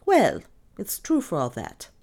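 A woman's voice: lowish voice, sing-song, measured pace, a little mellow, voice pushed up. A woman voicing a superstitious, gloomy, stubborn old Irish maidservant with a dramatic, insistent delivery in an audiobook. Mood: heated, stubborn. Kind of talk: audiobook character dialogue.

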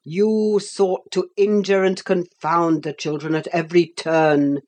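Woman doing a slow, solemn Roman emperor. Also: lowish voice, level tone, darkish tone. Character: grave, calm male Roman emperor, ghostly and stern.

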